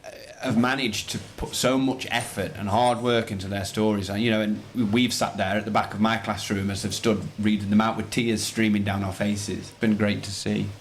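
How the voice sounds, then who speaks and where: A man's voice: on the low side, level tone, brisk, hardly any pauses, slight background noise. A man speaking as a teacher in an interview, enthusiastic and rambling, often repeating his phrases. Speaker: primary school teacher, interview guest. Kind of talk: conversational interview answers.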